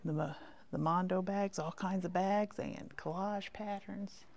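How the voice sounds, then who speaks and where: A woman's voice: mid-range voice, steady tone, unhurried, a little mellow. A woman giving a casual, chatty shop tour in short folksy remarks that trail off, recorded as she walks around indoors. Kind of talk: casual rambling tour commentary. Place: indoors, walking through a quilt shop.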